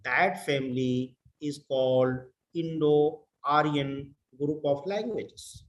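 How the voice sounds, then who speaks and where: A middle-aged man from India with an Indian English accent, giving a deliberate lecture to fellow educators, repeating words and mixing in Hindi. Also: fairly high pitch, reverberant, rough recording. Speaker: professor.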